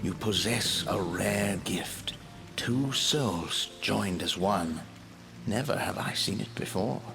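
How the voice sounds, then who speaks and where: A man voicing the sorrowful ghost of a baron in a video game, speaking slowly and formally. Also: on the low side, creaky. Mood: grave, weary. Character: remorseful ghost of a male baron. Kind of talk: dramatic video game voice acting. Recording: loud music and rain behind. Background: game music and rain.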